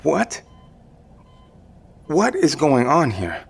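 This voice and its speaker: A groggy, disoriented man in an audio drama. His voice is gravelly and raspy, swinging widely in a slightly high range. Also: wildly swooping pitch, very slow, heavily acted. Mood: confused, alarmed. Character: disoriented, confused man newly awakened.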